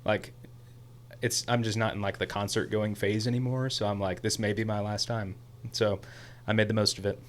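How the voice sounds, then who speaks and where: A young man co-hosting a podcast in casual chat, an excitable storyteller who rambles, his voice a little hoarse. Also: fairly low pitch, normal speed. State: slightly hoarse after a concert. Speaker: podcast co-host.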